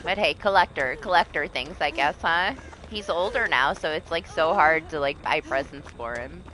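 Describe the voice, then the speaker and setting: A woman, middle-aged, with a raspy voice, chatting casually and freely with viewers as she streams a video game. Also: low voice, slight background noise, poor audio. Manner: animated, chatty, quick to laugh, swears freely. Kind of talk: casual chat with stream viewers while gaming.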